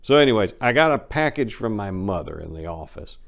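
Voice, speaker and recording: A man's voice: on the low side, big pitch swings, fairly quick, vocal fry, fairly bright tone. A man giving a dramatic first-person reading as a book narrator, telling stories in a brash, irreverent, slangy way.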